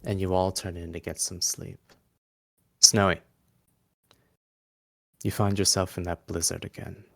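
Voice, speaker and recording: A man's voice: low-pitched, flat delivery, unhurried, gravelly. A man acting as game master, giving dramatic second-person narration for a fantasy adventure.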